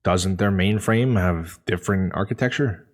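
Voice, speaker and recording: Actor as deep-voiced, inquiring spaceship crewman. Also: moderate pace, lightly acted. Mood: questioning. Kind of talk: audio drama acting.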